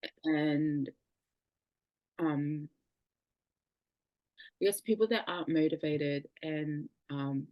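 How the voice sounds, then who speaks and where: Low-voiced hesitant young woman. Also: fairly even intonation, takes it slowly, long pauses, no room sound, muffled recording. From New Zealand. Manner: soft, hesitant, many fillers. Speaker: guest. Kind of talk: casual interview over a video call.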